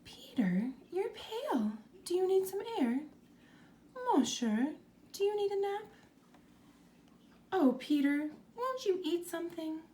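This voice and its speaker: An anxious mother from a children's storybook, acted out by a woman reader. Her voice rides high and swings widely as she frets and fusses over her child. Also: lively intonation, slow, pauses often, mellow, full character voice. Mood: fussing, worried. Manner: fretful, peppering with questions. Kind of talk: storybook character voice.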